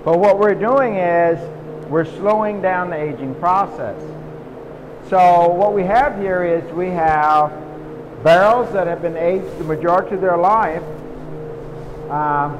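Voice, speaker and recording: A middle-aged man explains things in a folksy way, answering his own questions as he leads a tour. His voice sits slightly high. It is caught on a phone in a vast warehouse with a long reverb tail and faint noise. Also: muffled recording. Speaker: distillery tour guide. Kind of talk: guided warehouse tour on a live stream. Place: large indoor barrel warehouse and walk-in cooler. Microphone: phone camera held by someone walking with him.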